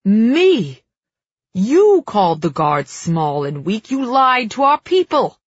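A woman narrator acts out a raging storybook king. He is middle-aged and domineering, snarling and hissing his words. The husky voice sounds in a roomy, dulled recording. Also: on the high side, unhurried, muffled recording. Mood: defensive fury. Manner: snarling, hissing through gritted teeth.

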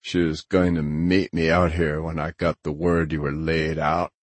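A man voicing a gruff, sardonic, middle-aged male hacker in an audiobook, with a rumbling, blunt, needling delivery. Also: level tone, moderate pace, no echo. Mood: sober.

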